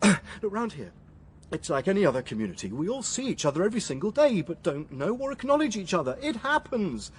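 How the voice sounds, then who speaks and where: A man's voice: sits high, sing-song, a little fast, a little hiss or hum. A man playing a flustered, indignant suspect in a radio drama, defensive and stammering under pressure. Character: flustered, indignant male suspect, father of a young child.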